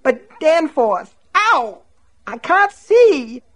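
A frightened, timid wife pleads in a raised, shrill voice that leaps and cracks, as a man reading an audiobook puts her on. Her delivery swings widely in pitch, all fear and no fight. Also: huge pitch swings, slow pace, bright, forceful tone, husky, full character voice. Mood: terrified. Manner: pleading, shrill cries of pain. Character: frightened, timid middle-aged wife, woman. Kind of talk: audiobook narration.

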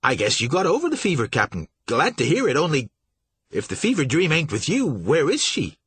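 A man's voice: on the high side, wildly swooping pitch, fairly quick, a bit bright, slightly rough, voice pushed up, heavily acted. A man voicing a flustered, nervous young shipping agent who stammers and fawns. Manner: stammering, fawning.

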